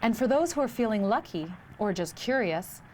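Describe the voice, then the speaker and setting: A woman's voice: a little high, expressive, normal speed, a little hiss or hum. Woman reporter narrating a travel segment. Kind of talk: scripted narration voice-over. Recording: music bed behind narration.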